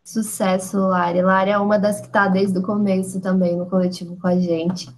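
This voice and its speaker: A woman's voice: medium pitch, level tone, moderate pace, darkish tone, slight background noise. Woman moderating a video call. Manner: friendly, brief remarks calling on each participant. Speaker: host of an online study group. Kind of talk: casual meeting moderation.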